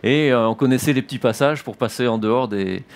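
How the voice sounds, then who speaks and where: A man's voice: mid-range voice, a little fast, a little hiss or hum. Hesitant French-accented man in his fifties. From northern France. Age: 50s. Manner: hesitant, many "euh" fillers and restarts. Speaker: military chaplain giving testimony. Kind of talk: church testimony.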